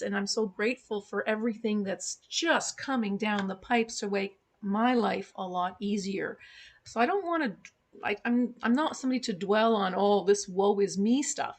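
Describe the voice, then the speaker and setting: A woman in her fifties, a native German speaker raised in Canada, talking reflectively as an interview guest, with frequent ums and restarts. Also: mid-range voice. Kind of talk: casual interview.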